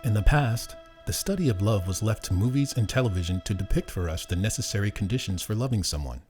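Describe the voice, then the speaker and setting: A man with a fairly low voice reads documentary narration in a measured, even manner. Soft music sits faintly under him. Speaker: narrator and interviewer.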